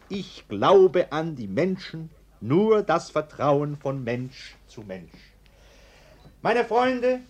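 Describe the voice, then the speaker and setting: An actor in a German radio play playing an anxious, gullible middle-aged householder, ingratiating and prone to declaiming. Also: male voice, on the high side, heavily acted. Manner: ingratiating, declaiming, hesitant under pressure.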